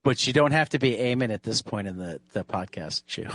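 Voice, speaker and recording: A man's voice: on the low side, animated, a little fast, fairly bright tone, no echo, clean studio sound. A man hosting a podcast, chatting casually with a group. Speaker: podcast host.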